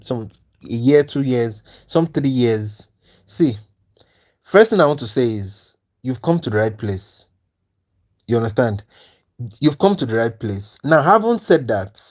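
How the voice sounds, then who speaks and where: A man's voice: medium pitch, a little mellow. A man from Nigeria with a Nigerian accent, hosting a trading livestream and talking earnestly and persuasively to viewers in the chat. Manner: earnest, persuasive, repeats "you understand?". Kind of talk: live-stream talk to chat viewers.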